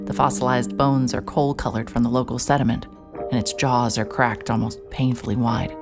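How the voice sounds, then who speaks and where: Female private eye, very low voice, stubborn, deadpan, terse, cinematic audio drama, faint music. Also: moderate pace. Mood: brooding, observant. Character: hard-hearted, wry, stubborn female private investigator. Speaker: narrator and lead actor.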